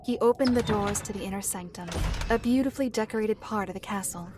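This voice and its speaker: A woman reading scripted story narration for a dark fantasy tale. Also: medium pitch, fast. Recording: clear background ambience noise.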